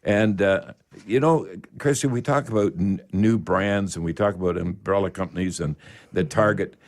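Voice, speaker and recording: Older Canadian man, folksy low-voiced radio host. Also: moderate pace. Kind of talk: casual radio talk.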